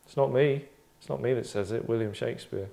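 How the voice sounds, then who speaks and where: Man leading an actors' read-through, casual and halting, rambling, deadpan comic asides. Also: mid-range voice, vocal fry. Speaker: table read leader among actors.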